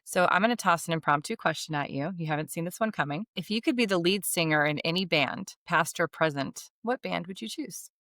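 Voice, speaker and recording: Enthusiastic woman podcast host, lower voice, dry close mic. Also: fairly quick, few pauses, a bit bright, no room sound. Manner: upbeat, enthusiastic interviewer. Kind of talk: podcast interview.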